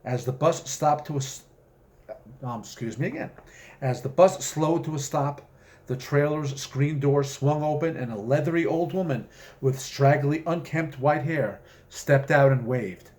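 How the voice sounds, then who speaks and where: Measured male author reading aloud. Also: on the high side, a little mellow. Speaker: author reading own short story. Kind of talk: story reading with spoken introduction.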